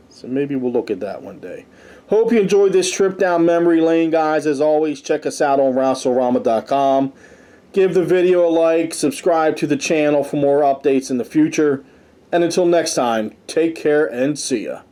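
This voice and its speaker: Relaxed, mellow-voiced man reviewing wrestling lists. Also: on the high side, moderate pace. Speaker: host of a wrestling review show. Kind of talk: casual commentary, reading lists aloud.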